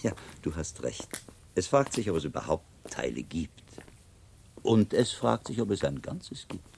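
An actor playing a shrewd, thoughtful monk and former inquisitor in a radio drama, speaking in a measured, probing way. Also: male voice, sits low, very animated, creaky, darkish tone, slight background noise, heavily acted. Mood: philosophical.

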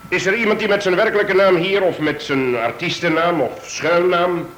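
An actor playing a polite police inspector who questions people formally in a radio play. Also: male voice, high voice, normal speed, a bit bright, a little hiss or hum. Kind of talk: vintage radio play acting. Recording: echoey room.

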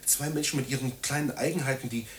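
An actor in a German radio drama playing a needy, self-pitying man who rambles haltingly and repeats himself. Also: medium pitch, fairly even intonation, a little hiss or hum, lightly acted. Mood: hurt, pleading.

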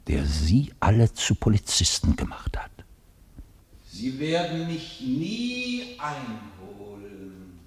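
A man's voice: dark tone, slightly rough, full character voice. A man acting in a German radio play as an enigmatic, taunting mastermind, defiant and grandiose, declaiming theatrically. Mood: menacing, quiet. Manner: theatrical, declamatory, swinging from murmur to booming proclamation.

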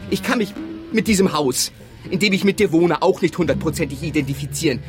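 A man's voice: a little high, expressive, a little fast, music in the background, lightly acted. An actor playing an exasperated, sentimental man in a radio drama, speaking in an emphatic, insistent way. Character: exasperated, sentimental partner clinging to household belongings. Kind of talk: radio drama dialogue acting.

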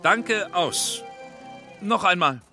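An actor in a radio drama playing an exacting but tactful male film director, polite, careful and quietly skeptical. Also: high voice, expressive, very slow, music in the background, heavily acted. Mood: careful, exasperated.

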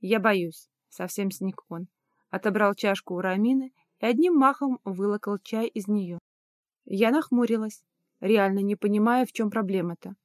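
A woman's voice: medium pitch, moderate pace, a little mellow, no echo. Woman narrating fiction in a dry recording. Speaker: audiobook narrator. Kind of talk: scripted first-person fiction narration.

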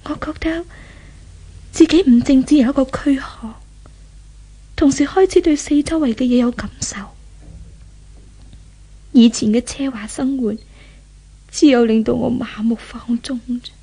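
A woman playing a weary, remorseful fallen rich girl in a 1970s Hong Kong Cantonese radio drama, with dramatic breathy delivery and sighs. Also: high-pitched, measured pace, long pauses, mellow, exaggerated voice. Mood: sorrowful reflection. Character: once-pampered fallen young woman, tormented, weary, remorseful.

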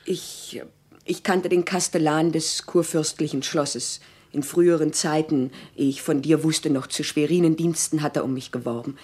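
An actress playing a devoted, anxious but determined wife in a radio drama, earnest and pleading in old-fashioned language. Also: female voice, deep voice, steady tone, moderate pace, darkish tone, breathy edge.

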